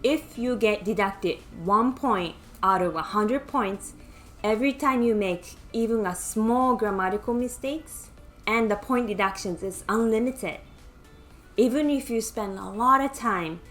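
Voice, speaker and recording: A woman's voice: fairly high pitch, unhurried, soft background music. Japanese-accented woman giving earnest advice. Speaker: nurse practitioner mentor. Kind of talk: scripted educational talk.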